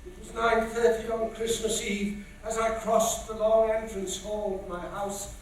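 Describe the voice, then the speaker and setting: An actor playing an anxious, haunted older gentleman in a stage drama, stiff, formal, earnest and hesitant in his speech. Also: high-pitched, little pitch movement, a little slow. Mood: stiff reciting. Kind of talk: theatrical stage acting. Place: theatre stage in live production. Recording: echoey theatre stage, distant mic, faint hiss.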